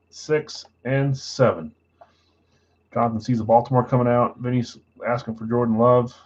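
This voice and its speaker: Male card break host, laid-back and plain-spoken, mid-pitched, muffled lo-fi stream audio. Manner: self-described boring voice, low-key and matter-of-fact. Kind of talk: casual livestream chat, reading out buyers and spots.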